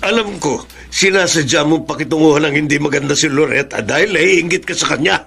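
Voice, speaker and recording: A fairly high, creaky Filipino male voice as a stern, exasperated man in a radio soap. He scolds and warns in a firm, confrontational way, over faint noise. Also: a little fast, bright, forceful tone. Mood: stern, threatening.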